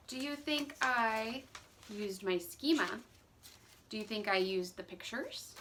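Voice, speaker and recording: A woman, a first grade teacher, reading a picture book expressively and putting questions directly to young children. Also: fairly high pitch.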